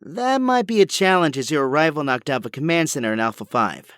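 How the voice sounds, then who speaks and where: Man as animated, brainy young inventor hero. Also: fairly high pitch, brisk, smooth, no echo, studio quality. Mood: focused, friendly. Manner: precise, technical, polite. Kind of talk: audio drama acting.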